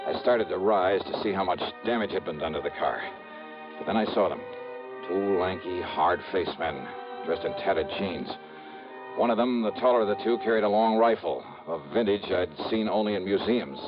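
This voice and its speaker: An actor playing a wry, hardboiled American insurance investigator in a 1950s radio noir drama. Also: male voice, low-pitched, moderate pace, close to an everyday voice. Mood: wry, tense narration. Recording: muffled vintage radio with music.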